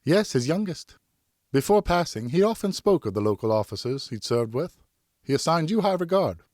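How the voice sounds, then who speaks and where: A man's voice: fairly high pitch, very animated, moderate pace, a little mellow, no room sound, voice pushed up. A man voicing a cheerful young French noblewoman in an audiobook, elegant and lightly teasing with a dry wit. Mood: polite, lively. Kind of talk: audiobook character dialogue.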